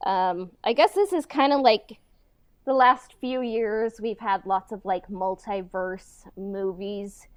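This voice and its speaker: Female podcast co-host, informal and halting, slightly low-pitched, very dry recording. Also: clean tone, no room sound. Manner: halting, informal, peppered with "like" and "kinda". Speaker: podcast co-host and audio drama writer. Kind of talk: casual podcast chat.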